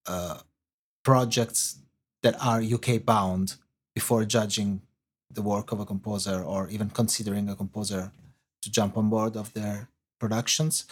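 A man with an Italian accent and Italian as his first language, a guest on a podcast interview, answering in halting English as he searches for words. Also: fairly low pitch. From Italy. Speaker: guest composer.

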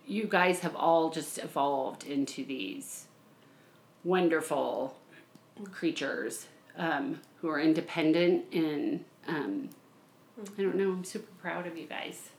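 Low-voiced nasal woman, roomy sound. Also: vocal fry, a bit bright. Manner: chatty, self-deprecating, laughs often. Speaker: mother of the host, podcast guest. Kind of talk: casual chat. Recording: roomy echo.

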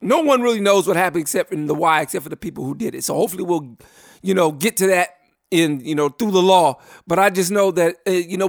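A man hosting a hip-hop radio show, mixing hype shout-outs with reflective commentary full of loose fillers. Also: sits high, dry recording, clean studio sound.

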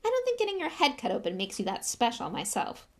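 A sneering schoolboy bully, put on by a woman narrator, loud and scathing. His pitch swoops up high in mockery, every phrase dripping with scorn, in storybook style. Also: wildly swooping pitch, fast talker. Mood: mocking. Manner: loud, scathing, mocking, smirking.

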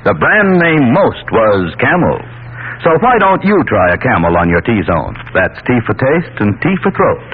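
A man announcing on a 1940s radio broadcast, delivering an emphatic commercial pitch. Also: sing-song, unhurried, a little hiss or hum. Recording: tinny old radio broadcast with loud music.

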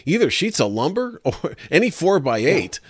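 A man's voice: fairly high pitch, sing-song, a little slow, breathy edge, no echo. Conversational male host, dry sound. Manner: conversational, addresses listeners directly. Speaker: interview host. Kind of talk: casual interview chat.

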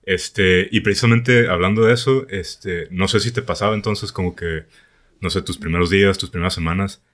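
A young Mexican man with a Mexican accent, hosting a casual podcast in a relaxed, slangy way with lots of fillers. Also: low-pitched, normal speed. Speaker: podcast host.

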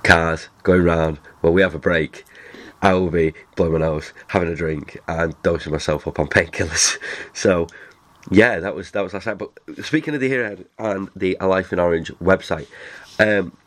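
Male podcast host, very low voice, stuffy nose from a cold, rambling chatty delivery. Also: normal speed, a bit bright. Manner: rambling, chatty, many restarts. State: nasal from a cold. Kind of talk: casual chat.

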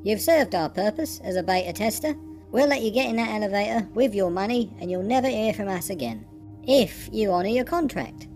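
A woman voicing a cold female experimenter who speaks calmly and matter-of-factly. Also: medium pitch, brisk, faint music behind, lightly acted. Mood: detached. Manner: calm, detached, matter-of-fact.

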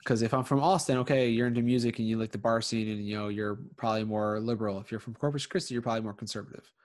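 A man's voice: lowish voice, fairly even intonation, racing. A man in his thirties from Connecticut, chatting casually and rambling with many fillers and restarts. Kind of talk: casual chat.

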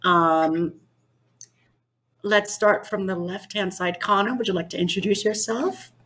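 A woman hosting a podcast, grateful and emotional, with many hesitant pauses. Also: medium pitch, a bit bright, breathy edge. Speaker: podcast host. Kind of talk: casual chat.

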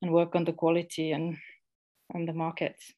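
A middle-aged woman from Finland, a guest speaking casually in an interview, pausing and restarting often. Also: deep voice, completely flat delivery, mellow, highs cut off. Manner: halting, restarts often, many fillers. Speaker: guest, social enterprise founder.

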